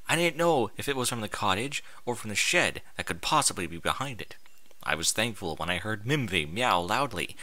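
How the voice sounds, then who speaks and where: A man narrates a first-person story with a very bright, forceful voice. His pitch moves around in a lively way and his delivery is expressive and informal. The sound is dry and close. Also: dry recording. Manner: expressive, informal, sweary interjections. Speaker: fan fiction reader.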